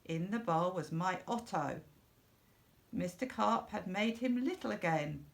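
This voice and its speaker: A woman narrates a children's storybook at a slow, deliberate pace. Her voice has a noticeable creak, and she stresses phrases expressively for young listeners. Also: lowish voice, animated. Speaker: storybook reader.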